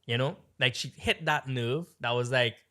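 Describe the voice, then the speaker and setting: A man with a Trinidadian-tinged American accent tells a long story on a podcast. He rambles and stays animated, leaning on fillers as he goes, and his voice sits at an ordinary middle pitch. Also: normal speed. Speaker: podcast co-host. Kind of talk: casual storytelling chat.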